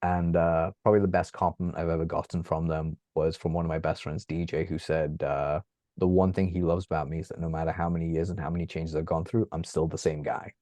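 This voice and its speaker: A man, chatting as an interview guest from his bedroom at home, animated and laughing often. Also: low-pitched, fast, dry recording. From England. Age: 30s. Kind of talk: casual interview chat. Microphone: video call.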